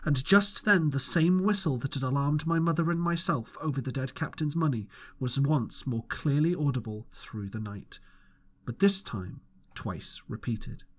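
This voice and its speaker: A man's voice: medium pitch, moderate pace. A man reading a classic adventure novel aloud as an audiobook, narrating expressively in first person. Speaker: audiobook reader. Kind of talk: storybook narration.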